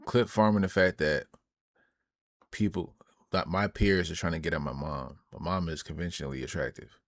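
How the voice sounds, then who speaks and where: A man with a lowish voice gives casual reaction commentary as a streamer. His talk is full of slang and aimed at his chat. The sound is very dry, with hardly any room on it. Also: normal speed, dry recording.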